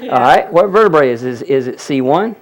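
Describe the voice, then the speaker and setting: A man's voice: on the high side, very animated, moderate pace. A man presenting a healing seminar, explaining a live demonstration to an audience and repeatedly pointing things out. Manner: explanatory, audience-addressing, frequent "look at that".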